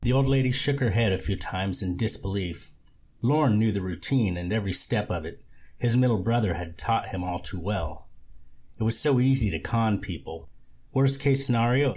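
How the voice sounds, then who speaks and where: Man, amateur audiobook reader, scripted story narration, low voice, husky. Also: animated, moderate pace, darkish tone.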